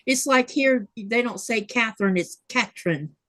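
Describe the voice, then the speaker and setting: Chatty woman with a higher voice. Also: unhurried, fairly bright tone, no room sound. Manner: chatty, rambling storyteller, reads viewer comments. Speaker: livestream host. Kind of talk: casual chat during a sewing livestream.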